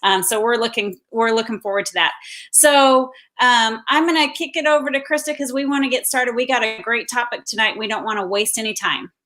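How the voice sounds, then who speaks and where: A woman's voice: on the high side, fairly quick, bright, forceful tone. An enthusiastic, gushing woman, hosting a casual live chat show. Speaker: show host.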